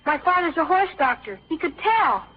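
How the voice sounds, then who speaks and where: A man's voice: very high-pitched, animated, moderate pace. A young male actor playing an earnest, stubborn schoolboy with an American accent in an old-time radio drama. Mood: glum scheming. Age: child actor or young teen. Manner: earnest, emphatic. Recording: dull, lo-fi vintage radio recording.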